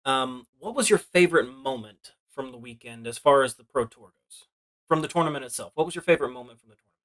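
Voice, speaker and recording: A man with an average-pitched voice works as a podcast interviewer. He speaks casually, in the conversational way of someone questioning a guest. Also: expressive, fast, a bit bright.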